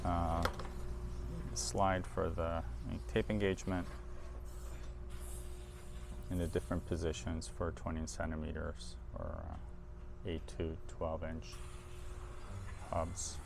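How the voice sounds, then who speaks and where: A man's voice: low-pitched, expressive, slow pace, creaky, long pauses, background noise. A man presenting audio equipment in a casual hands-on demo, informal and thinking aloud as he handles the machine.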